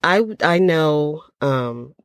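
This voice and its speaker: An older woman with a low, slightly stuffy voice chats casually to her listeners. She talks in a folksy, rambling way with lots of ums. The sound is dry and close. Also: very slow, clear, resonant tone, dry recording. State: stuffy from a cold. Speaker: podcast host. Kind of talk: casual solo podcast chat.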